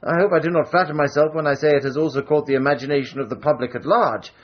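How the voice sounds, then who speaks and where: An actor playing a high-handed Edwardian gentleman adventurer in a radio period drama, grandiloquent and teasing. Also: steady tone, fast, dull audio, natural voice. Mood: grand, flattering.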